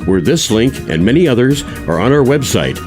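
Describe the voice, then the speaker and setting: A man's voice: medium pitch, very animated, brisk, darkish tone, faint music behind. A man reading a podcast promo in an upbeat promotional tone. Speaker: podcast announcer. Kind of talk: promo announcement.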